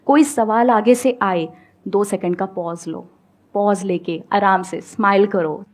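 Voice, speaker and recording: A young woman speaking on stage, giving calm, coaxing advice to an audience with everyday examples. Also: medium pitch, takes it slowly. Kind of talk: motivational talk and audience Q&A. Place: stage before a live audience.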